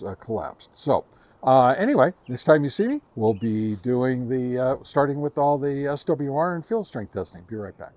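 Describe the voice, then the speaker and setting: Halting, methodical man reviewing gear. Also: medium pitch, a little mellow. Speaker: host of a radio gear review channel. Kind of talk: casual product test review, talking to viewers.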